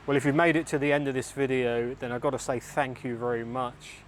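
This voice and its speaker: A man's voice: mid-range voice, fairly even intonation, fairly quick. A middle-aged man, giving plainspoken, opinionated vlog talk to camera. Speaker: vlogger and tour guide. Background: faint traffic and street noise.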